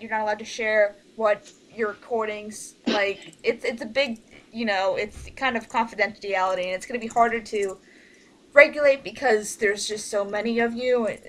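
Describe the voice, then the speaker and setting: High-voiced woman, informal and halting. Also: fairly bright tone. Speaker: producer leading an online meeting. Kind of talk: casual group meeting talk. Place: online group voice call.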